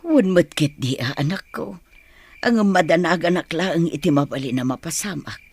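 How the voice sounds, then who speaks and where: A woman's voice: low voice, animated, moderate pace, darkish tone, slightly rough, heavily acted. A woman with a northern Philippine accent playing a worried, fretful older village mother in a radio comedy drama.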